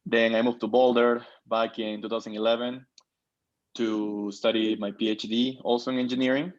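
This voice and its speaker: A man's voice: mid-range voice, flat delivery, normal speed, muffled recording. A man in his thirties, from Peru, introducing himself at a board meeting on a video call, with many fillers and restarts. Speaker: board member. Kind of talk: virtual meeting remarks.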